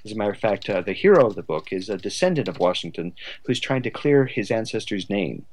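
A man talking as an interview guest, a writer thinking aloud in a halting, discursive, conversational way. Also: lowish voice, expressive, darkish tone, a little hiss or hum. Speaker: guest writer of a radio drama.

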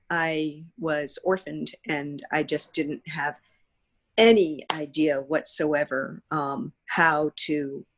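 A woman in her sixties with an American accent, telling personal stories as an interview guest, often acting out what people said. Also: low-pitched. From United States. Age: 60s. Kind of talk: anecdotal interview storytelling.

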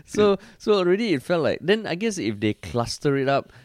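A man's voice: a little high, very animated, fairly quick, no echo, clean studio sound. A man with a Singaporean accent co-hosting a podcast, chatting informally and swearing casually. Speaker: podcast co-host. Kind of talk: casual conversational political chat.

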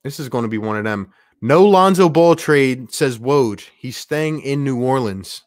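A man hosting a sports livestream in an excited, casual way, reading out viewer messages with plenty of slangy exclamations. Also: medium pitch, a little slow, no echo, clean studio sound. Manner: slangy, exclamatory, addresses listeners as man and bro. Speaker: livestream host.

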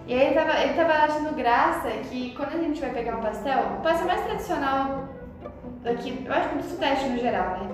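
A young woman with a São Paulo accent chats away in a lively, slangy way as a podcast co-host, her voice sitting notably high. She sounds set back from the mic in a strongly echoing room. Music plays clearly underneath her. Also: brisk, far from the mic. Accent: São Paulo Brazilian Portuguese. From São Paulo, Brazil. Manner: animated, slangy, laughs often. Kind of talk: casual chat.

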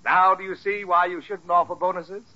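An actor playing a hearty, wily, condescending company boss in an old radio sitcom, pompous, theatrical and jovially persuasive. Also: male voice, sits high, little pitch movement, moderate pace, sharp tone. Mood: genial, condescending. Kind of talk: 1940s radio sitcom acting. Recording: muffled vintage radio sitcom recording.

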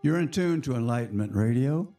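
A hoarse man hosting a spiritual radio show, talking in a slow, reflective, chatty way to his listeners. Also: mid-range voice, lively intonation, warm tone, soft background music. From United States.

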